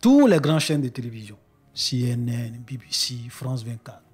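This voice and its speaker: A man's voice: mid-range voice, very animated, normal speed, a little mellow, slightly rough, faint music behind. A man with a cold preaching to his brothers and sisters in an earnest spoken sermon, often restarting his phrases. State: congested with a cold.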